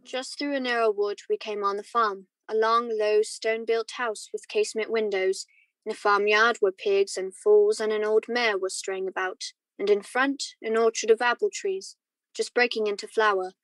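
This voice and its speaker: A woman playing a wistful married man who recalls his youth in measured, reflective radio drama narration. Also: medium pitch, steady tone, no echo, natural voice, rough recording. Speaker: student actor.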